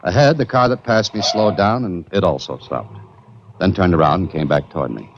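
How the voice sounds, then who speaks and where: An actor playing a breezy, curious adventurer in a 1940s radio drama, with wry, polished delivery. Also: male voice, low voice, animated, a little fast. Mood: intrigued, narrating. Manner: wry, bantering, polished storytelling. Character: breezy, curious adventurer hero narrating his own case. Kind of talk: 1940s radio drama acting with first-person narration. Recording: dull, noisy vintage radio recording.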